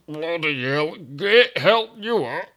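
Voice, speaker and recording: A man's voice: fairly high pitch, lively intonation, slow pace, bright, forceful tone, voice pushed up, exaggerated voice. A man voicing a foul-mouthed, grumbling Yorkshire man of forty with a Yorkshire accent. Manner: cursing, grumbling, exasperated.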